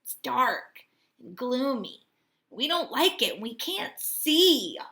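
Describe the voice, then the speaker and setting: A woman teacher reading a storybook aloud and talking to her students in an expressive, deliberate way. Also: high voice. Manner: expressive, deliberate, addressing children directly.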